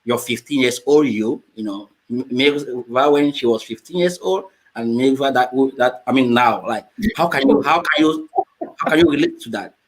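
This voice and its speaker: A man hosting a casual talk show, speaking in a halting, rambling way and often repeating himself. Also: medium pitch, rough recording. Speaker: host.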